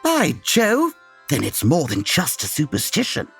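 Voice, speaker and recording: A man playing a learned, eager gentleman detective, formal and theatrical, in a comedy audio drama. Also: a little high, wildly swooping pitch, a little slow, creaky, slightly rough, soft background music, full character voice. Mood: storytelling, eager. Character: learned, eager, gentlemanly Victorian detective, patient but exasperated.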